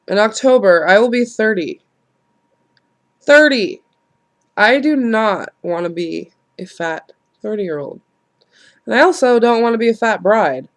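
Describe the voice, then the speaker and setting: A woman, congested and nasal from a cold, rambling frankly through a casual vlog, swearing and laughing at herself. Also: mid-range voice. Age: late 20s. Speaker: vlogger.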